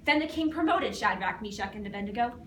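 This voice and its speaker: A woman giving a theatrical, emphatic dramatized Bible recitation with big swells and pauses. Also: mid-range voice, animated. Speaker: competitive dramatic scripture reciter. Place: indoor performance room, recorded from afar. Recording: distant mic in noisy, echoey room.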